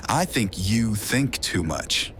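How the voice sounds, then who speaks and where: A laid-back high school senior boy , played by a man, talks in a very deep voice. He sounds casual and unbothered in scripted comic dub dialogue, with faint noise behind him. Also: animated, slow, fairly bright tone. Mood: nonchalant.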